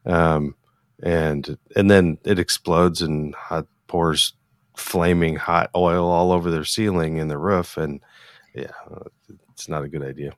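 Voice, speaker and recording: A man with a low-set voice, recorded very dry. He interviews in a casual, conversational way, often hesitating and regrouping mid-phrase. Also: fairly even intonation, slow pace, no room sound. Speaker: interviewer, podcast host.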